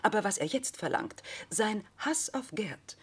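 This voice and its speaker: An actress in a radio play playing a desperate, self-dramatising remarried widow, husky, solemn and insistent. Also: female voice, medium pitch, sing-song, unhurried, exaggerated voice. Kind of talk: German radio play acting.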